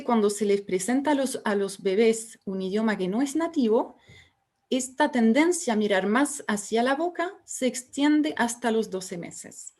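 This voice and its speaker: Female researcher, fairly high voice, explanatory lecture delivery with fillers. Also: a little mellow. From France. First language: French. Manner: explanatory, pauses with fillers and restarts. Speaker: researcher giving a symposium talk. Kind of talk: academic lecture.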